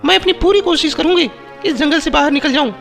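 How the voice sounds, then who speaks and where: A performer voicing an earnest, brave village girl lost in a forest, in an animated moral story. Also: high-pitched, quick pace, creaky. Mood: determined. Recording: long reverb, music bed.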